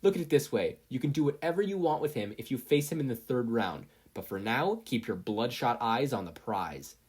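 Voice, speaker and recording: Inner conscience character, read by a narrator: dry, bossy, sardonic, slightly husky, everyday pitch. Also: animated, moderate pace, a little mellow. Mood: commanding.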